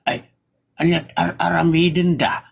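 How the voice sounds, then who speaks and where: A man's voice: fairly high pitch, flat delivery, a little slow, creaky, husky, exaggerated voice. A man acting a sly, meddling comic busybody with an Ilocano accent, exclaiming and evasive in a comedy drama. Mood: sly, defensive.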